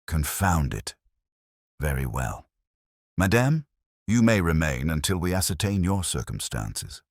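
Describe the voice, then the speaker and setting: A man voicing a grumpy, imperious genius detective in an audiobook, pompous, formal and deliberate. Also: very deep voice, sing-song, no room sound, studio quality, full character voice. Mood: grudging, resigned. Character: large brilliant grumpy male detective, imperious and weary.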